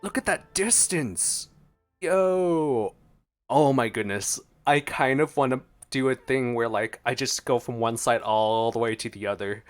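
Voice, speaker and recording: A man giving casual, expressive gameplay commentary, talking to himself playfully as he plays. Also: mid-range voice, unhurried, clean tone. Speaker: gaming streamer. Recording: game music behind voice. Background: video game music.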